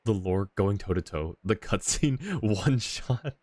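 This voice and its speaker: A man's voice: fairly low pitch, slow, darkish tone, dry recording. A man giving casual live commentary as a game streamer, close to the microphone.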